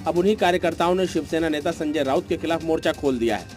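A man's voice: a little high, fast. A man reading a scripted news package with dramatic, punchy delivery. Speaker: news report narrator. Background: light news music.

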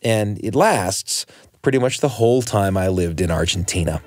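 Male storyteller, low-pitched, very animated, wry, scripted personal tale. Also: moderate pace.

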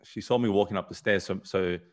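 Low-voiced man, rambling. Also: steady tone, fast talker. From South Africa, raised in Australia. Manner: rambling, repeats words and restarts often. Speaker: podcast guest. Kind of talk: casual conversation on a video call. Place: small room at home. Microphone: video call webcam.

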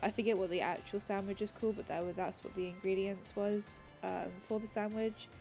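A woman talks over her gameplay in a relaxed, meandering way, full of ums and restarts. Her voice is mellow and a little congested and raspy with a cold. Soft background music plays faintly under her voice. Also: medium pitch, a little fast. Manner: rambling, many ums and restarts. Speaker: gaming channel host. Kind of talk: casual gameplay commentary.

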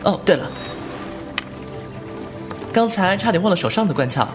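A man's voice: high-pitched, expressive, normal speed, music in the background. A man playing a cheeky young martial-arts hero in a wuxia audio drama, playful, mouthy and mock-grumbling. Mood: playful, sly.

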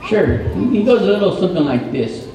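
A man's voice: high voice, very animated, brisk, dark tone. A man playing a laid-back, helpful smoke detector puppet in a live children's safety show, answering casually. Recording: echoey mall, distant mic, audience noise.

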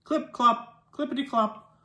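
Storytime reader for young children: unhurried, expressive with dialogue, recorded in an echoey living room. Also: mid-range voice. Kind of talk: children's picture book read-aloud. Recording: roomy indoor echo.

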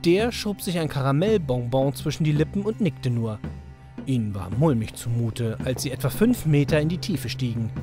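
A man delivering scripted narration as the storyteller of a children's audio drama. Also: on the high side.

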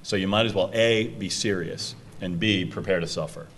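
Low-voiced man moderating a panel. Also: moderate pace, slight background noise. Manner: energetic, direct, talks to students. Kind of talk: casual panel talk. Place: university meeting room. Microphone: table microphone.

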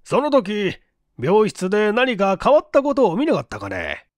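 A man's voice: high voice, wildly swooping pitch, moderate pace, no echo, clean studio sound, voice pushed up. A man voicing a languid police chief in an audiobook mystery, questioning witnesses in a weary, probing way.

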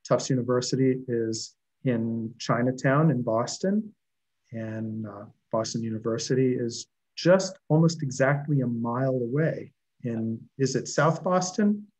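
Older man giving a relaxed video-call talk. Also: medium pitch, unhurried, rough recording. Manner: relaxed, conversational, with fillers. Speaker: dental school lecturer presenting. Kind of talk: conference presentation over video call. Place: home office. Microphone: video call webcam.

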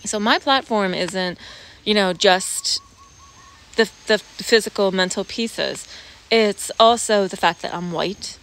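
An American woman in her forties talking casually and reflectively to camera, halting and thoughtful, with pauses. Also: medium pitch, fairly bright tone. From United States expatriate raised around the Pacific Basin. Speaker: vlogger. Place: outdoors in a leafy garden, seated. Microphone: phone at arm's length. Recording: clear outdoor background noise, cricket-like ambience.

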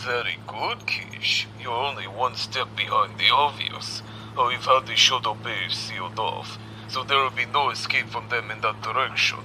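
An actor playing a stern, pompous commodore with a cutting, commanding manner in a science fiction audio drama. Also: male voice, mid-range voice, normal speed, bright, forceful tone, slight background noise, rough recording. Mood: scornful. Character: stern, scornful male space military commodore.

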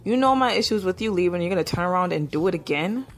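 A woman's voice: fairly low pitch, expressive, fast, soft background music. A woman playing an angry, distrustful and stubborn wife in an audio drama. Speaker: actor and narrator in an audio drama.

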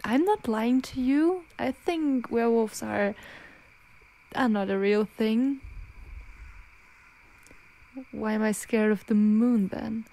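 A frightened young werewolf girlfriend, acted by a woman in an ASMR audio drama. Her voice is fairly high and she speaks very slowly, stammering and pleading as she tries to hold back her change. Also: gravelly, a little mellow, poor audio. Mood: defensive denial.

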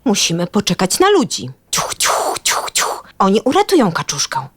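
A woman voicing a staid, proud old toy train in a children's audio play, calm, with whispered chuffing between phrases. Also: mid-range voice, normal speed, husky. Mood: steady. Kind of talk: children's audio drama acting.

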